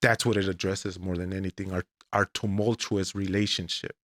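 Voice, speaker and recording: Deliberate low-voiced man telling his story. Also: flat delivery, a bit bright, dry recording, clean studio sound. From Mexico. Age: 40s. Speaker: guest, English professor. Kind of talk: podcast interview, telling his life story.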